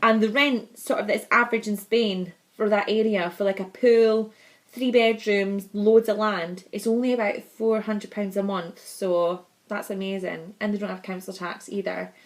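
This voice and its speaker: A woman's voice: mid-range voice, fairly even intonation, moderate pace. A young woman with a Scottish accent, chatting casually and bubbly to camera in her vlog, laughing often. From Scotland. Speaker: vlogger.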